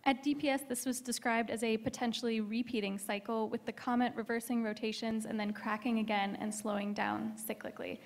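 A woman's voice: a little high, steady tone, fairly quick, hardly any pauses. A woman reading out a question submitted from the webcast, in a plain read-aloud way. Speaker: press assistant reading web questions.

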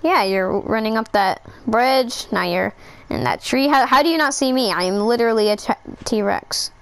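High boastful old goat T-Rex villain, as a woman plays it. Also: moderate pace, a bit bright, smooth, no echo, rough recording. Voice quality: high-pitched voice. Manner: boastful, taunting. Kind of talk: amateur game machinima voice acting.

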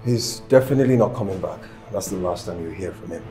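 An actor with a Nigerian accent playing a loyal, composed assistant and friend in a screen drama. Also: male voice, low voice, fast talker, dark tone, soft background music, lightly acted. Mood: concerned, reassuring.

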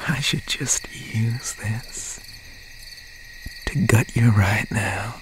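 A man playing an obsessed, bitter young serial killer boyfriend in an ASMR roleplay, speaking with breathy, half-whispered menace and pauses. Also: fairly low pitch. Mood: wounded, obsessive. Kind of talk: ASMR roleplay, dramatic monologue. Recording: steady nighttime ambience over the voice. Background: crickets, insects and owl night sounds.

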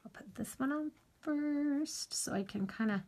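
A middle-aged woman with a hoarse morning voice, chatting casually while demonstrating a craft project. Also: high voice, unhurried, darkish tone. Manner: chatty, rambling asides while working. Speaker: crafting tutorial host.

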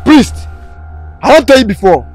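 An actor playing a bossy Nigerian gang leader with a Nigerian Pidgin accent, loud and commanding. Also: male voice, medium pitch, sing-song, normal speed, slightly rough, no echo, soft background music. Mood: scolding. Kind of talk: comedy skit acting.

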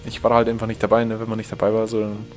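A young man from Germany, a gaming YouTuber chatting casually over his gameplay, rambling and correcting himself, reacting loudly to the game. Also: fairly low pitch, completely flat delivery, rapid-fire, faint music behind.

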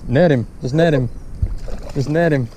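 A man's voice: fairly high pitch, normal speed, mellow, a little hiss or hum. A man vlogging while out fishing, chatting informally and often repeating short exclamations. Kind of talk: casual chat while fishing. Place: outdoors on a bass boat on a small lake.

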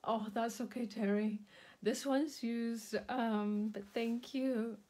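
A woman's voice: on the high side, fairly even intonation, mellow. A woman hosting a live craft demonstration, chatty and full of ums, with a slightly sore throat. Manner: slow, chatty, many ums, greets viewers by name. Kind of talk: casual live craft demo. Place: indoor craft table.

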